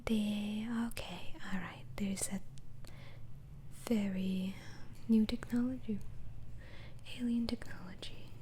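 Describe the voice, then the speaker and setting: A woman whispers very slowly in a dark, soft, breathy voice. She plays an interviewing doctor in an ASMR role-play, putting gentle questions with halting pauses. There is clear noise in the recording. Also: mid-range voice, steady tone.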